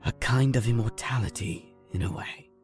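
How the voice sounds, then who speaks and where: A man's voice: medium pitch, normal speed, natural voice. A man voicing a wise, devoted husband who speaks in a solemn, tender and formal manner in a fan drama dub. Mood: solemn consolation. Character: devoted, wise, consoling long-lived husband. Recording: music bed under the voice.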